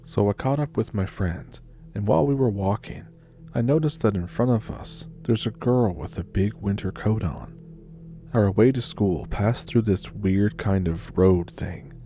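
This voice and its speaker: A man with a low, dark voice narrates scary stories in a measured, unhurried way. Soft music sits quietly beneath his reading. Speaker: storytelling channel host.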